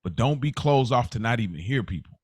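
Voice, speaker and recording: An American man with a mid-pitched voice speaks as a podcast host, recorded dry and close. His motivational talk is casual and slangy, with blunt emphasis on each point. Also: animated, a little fast, a little mellow, breathy edge, no echo.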